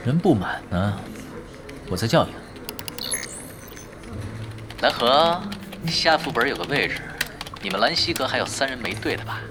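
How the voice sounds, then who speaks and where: A man's voice: mid-range voice, lively intonation, moderate pace, long pauses, natural voice. An actor playing a sly, shameless, laid-back young male gamer in a Chinese audio drama, teasing and mock-innocent. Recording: music behind the voice.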